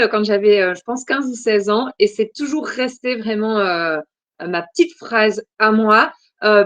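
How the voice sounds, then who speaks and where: A woman from Belgium in her forties answers interview questions, pausing often and filling gaps with soft hesitations. Her voice sits at a middle pitch, and the recording is dry and close, with no room sound. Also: dry recording. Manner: hesitant, many "euh" fillers, thoughtful. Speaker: guest.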